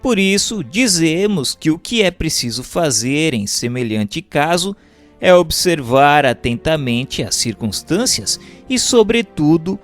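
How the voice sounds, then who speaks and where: A Brazilian man narrates a written text in a formal, instructive way. His voice sits a little high and swings widely in melody, with careful enunciation. The pace is unhurried, and soft music plays underneath. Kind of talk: scripted narration, reading a book aloud.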